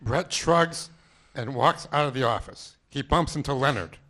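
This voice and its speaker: A man's voice: medium pitch, measured pace, fairly bright tone. Man reading stage directions. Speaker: narrator. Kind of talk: scripted narration.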